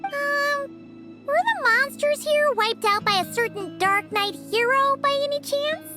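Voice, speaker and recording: A woman's voice: very high voice, unhurried. A woman voicing a tiny, childlike female fairy companion in a video game, chirpy and blunt. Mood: curious, surprised. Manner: speaks of self in third person, childlike. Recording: music behind the voice.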